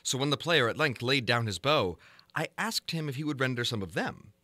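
Bright, expressive man reading gothic fiction. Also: mid-range voice, no room sound. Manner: formal, measured, theatrical delivery. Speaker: narrator reading a story. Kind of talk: dramatic audiobook reading of gothic horror fiction.

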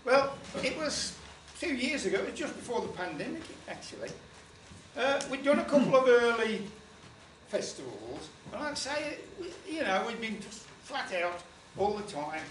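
A man's voice: extremely high pitch, big pitch swings, moderate pace, a bit bright, slight background noise. An older man telling a chatty, rambling comic story to a small folk club, with lots of asides. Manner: gestures broadly while standing. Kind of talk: comic spoken-word tale. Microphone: far camera. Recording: roomy, distant camera audio.

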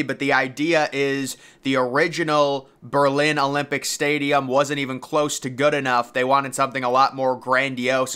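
Bright-voiced man explaining history. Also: medium pitch, clean tone. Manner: conversational, informal asides. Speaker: video narrator. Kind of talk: casual explanatory commentary over historical images.

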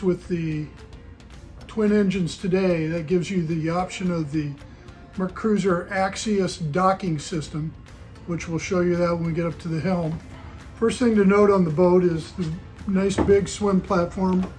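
A man's voice: high-pitched, a little mellow. Older man giving a boat walkthrough. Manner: matter-of-fact, listing features. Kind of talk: walkthrough tour presentation. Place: inside a boat in an indoor showroom.

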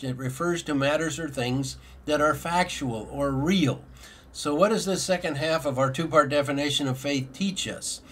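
A man's voice: on the high side, a bit bright. A middle-aged man pastor giving a sermon from a desk in an office, earnest and explanatory, asking rhetorical questions and repeating his points. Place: indoor office with bookshelves.

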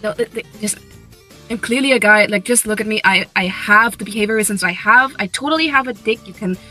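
A woman's voice: mid-range voice, fairly quick, bright, forceful tone, faint music behind. A woman voicing a nervous armored cat knight who poses as a man, rambling and defensive in an improvised comedy fan dub. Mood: flustered denial.

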